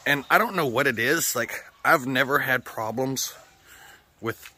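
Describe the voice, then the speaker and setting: A man's voice: medium pitch, measured pace, pushed and bright, slightly rough. An American man with a slightly sore throat, rambling casually and swearing often as he chats for a vlog. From United States. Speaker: vlogger. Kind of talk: casual chat.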